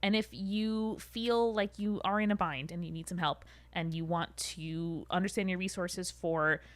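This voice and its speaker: A woman's voice: fairly low pitch, no room sound. A woman talking casually as the co-host of a podcast.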